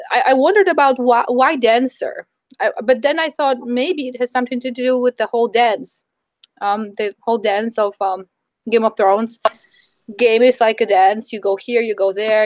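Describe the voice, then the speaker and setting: A woman with a fairly high voice that sounds strained and worn, as if she nearly lost it. She talks tentatively through her ideas in a casual book chat, with many ums. The audio is dull and dry. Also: fairly even intonation, moderate pace, no echo. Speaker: book club member.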